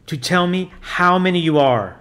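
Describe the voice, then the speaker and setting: Stern exorcist priest , read by a man: loud, formal, commanding, a bit high in pitch. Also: animated, moderate pace. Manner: loud, commanding, formal old-fashioned speech. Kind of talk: audiobook reading.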